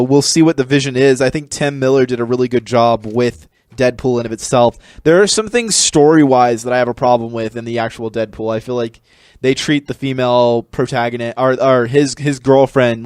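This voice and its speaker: A man's voice: mid-range voice, fairly even intonation, moderate pace, darkish tone, dry recording, studio quality. A man co-hosting a podcast in a casual, rambling discussion style. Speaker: podcast co-host.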